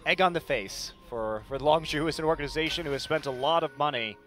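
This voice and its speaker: A man's voice: fairly high pitch, fast, fairly bright tone, soft background music. A man commentating on esports in casual banter, chuckling often and teasing drily.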